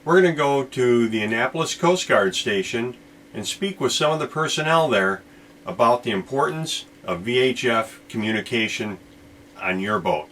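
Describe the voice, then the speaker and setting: A man's voice: mid-range voice, animated, normal speed, fairly bright tone. Male boating presenter with noisy background. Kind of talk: instructional video presenting. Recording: clear background noise, ambient sound.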